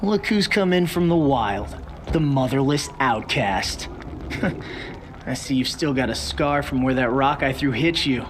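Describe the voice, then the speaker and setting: A man's voice: medium pitch, measured pace, a little hiss or hum, close to an everyday voice. Guy voicing cocky young bully in a game, mid voice, mocking, faint ambience behind. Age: young adult. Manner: sneering, mocking. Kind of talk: video game voice acting.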